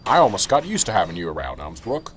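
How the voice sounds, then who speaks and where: A man's voice: low-pitched, wildly swooping pitch, fast, a little hiss or hum. A man playing a middle-aged, corrupt small-town police sergeant in an amateur radio drama. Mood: chummy, solemn. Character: corrupt small-town police sergeant, chummy until cornered, then indignant.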